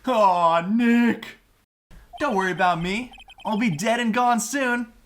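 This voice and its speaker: A man's voice: sits high, takes it slowly, a bit bright. A man voicing a whiny, lovelorn young slacker with an overwrought, slangy delivery in a fan-dubbed game. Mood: wailing despair. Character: melodramatic, whiny young male slacker, lovelorn best friend. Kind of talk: fan-dub video game dialogue.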